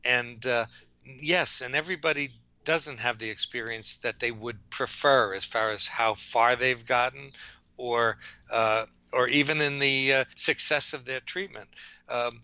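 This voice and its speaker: An older man with a New York accent gives rambling interview answers. His voice is very bright and forceful, and he often stops to correct himself. The recording is dry and slightly dull in fidelity. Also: medium pitch, clear, resonant tone, no room sound. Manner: rambling, halting, self-correcting. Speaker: OCD psychologist, interview guest.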